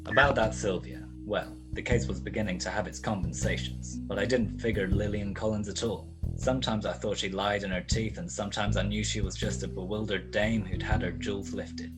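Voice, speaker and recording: Young theatre player as a low-voiced male hardboiled detective, music behind. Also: natural voice, low-quality recording. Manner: laconic, wry. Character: wry hardboiled 1940s private detective, male. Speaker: lead actor and narrator. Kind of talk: 1940s detective radio play acting. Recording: music behind the voice, home-recorded radio play.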